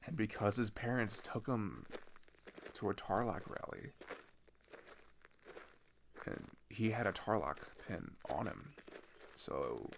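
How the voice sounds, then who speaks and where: A young man playing a scared, grieving older teenage boy in an intimate audio roleplay, talking in a halting, casual, rambling way. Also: lowish voice, very animated, gravelly, a little hiss or hum, full character voice. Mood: uneasy, heavy. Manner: halting, rambling, casual youthful speech with sighs.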